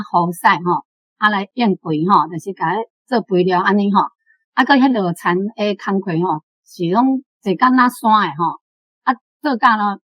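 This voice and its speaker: A woman's voice: medium pitch, no echo, rough recording. A woman in her sixties with a Taiwanese accent, chatting about a story and her own memories as a language teacher. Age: 60s. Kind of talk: casual book discussion with personal reminiscence.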